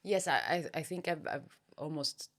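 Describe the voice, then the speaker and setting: A woman's voice: sits low, moderate pace, fairly bright tone. A woman being interviewed as an author, speaking reflectively and hesitantly as she searches for words. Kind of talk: thoughtful literary interview.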